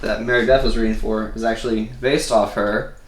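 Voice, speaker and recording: A man's voice: on the low side, steady tone, fairly quick. A man chatting casually in a loose, rambling way. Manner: rambling, peppered with "like". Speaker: scriptwriter. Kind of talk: casual chat. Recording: roomy echo with faint noise.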